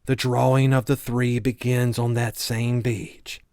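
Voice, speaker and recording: Male audiobook narrator, mid-pitched with vocal fry, solemn fantasy storytelling, dry sound. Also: flat delivery, a little slow, a little mellow, no room sound. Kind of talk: scripted audiobook narration of a fantasy novel recap.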